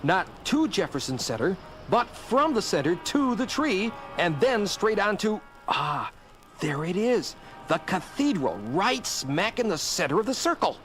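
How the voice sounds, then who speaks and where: A man playing a young, wisecracking superhero in an audio drama, earnest and animated. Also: sits high, unhurried, soft background music, heavily acted. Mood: thinking aloud. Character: young wisecracking male superhero and news photographer.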